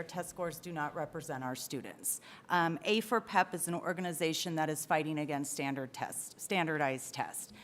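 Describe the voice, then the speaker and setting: A woman's voice: on the low side, a little hiss or hum. A woman answering as a school board candidate at a forum, blunt and emphatic. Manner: blunt, declarative.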